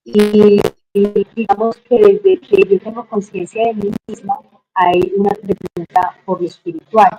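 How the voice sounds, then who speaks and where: A middle-aged woman with a Colombian Spanish accent tells her life story as an interview guest, reflective and unhurried, with many hesitations. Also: medium pitch, level tone, warm tone, rough recording.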